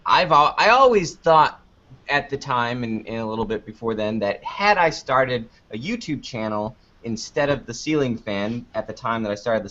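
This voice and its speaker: A man's voice: medium pitch, pushed and bright. A man giving casual interview answers in a joking, anecdotal way, acting out little exchanges as he goes. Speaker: podcast producer and video creator being interviewed. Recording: dull lo-fi audio.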